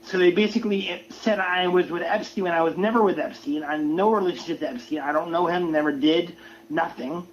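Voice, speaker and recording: A man with a very high-pitched voice rants casually and with strong opinions. He talks in halting bursts, repeating words and phrases. The audio is muffled, with faint noise.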